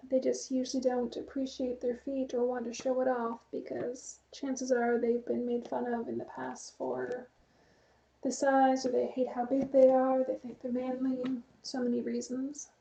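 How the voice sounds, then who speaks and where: A woman chatting casually to her live stream viewers, rambling in a relaxed way and reading the chat aloud. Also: sits high, unhurried, creaky, muffled recording.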